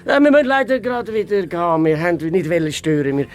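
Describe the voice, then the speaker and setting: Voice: medium pitch, sing-song, quick pace, darkish tone, faint music behind, lightly acted. An actor playing a bossy, self-important fox inspector with a Swiss German accent in a children's radio play, commanding and apt to stammer. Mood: flustered. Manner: pompous, commanding, often stammering when flustered.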